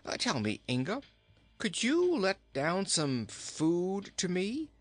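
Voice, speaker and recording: A man's voice: high voice, huge pitch swings, slow pace, no room sound, faint music behind, voice pushed up, exaggerated voice. A man voicing a plump, jolly, pun-loving king in a theatrical storybook manner. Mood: musing, wry. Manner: theatrical, exclamatory, chuckling at own jokes.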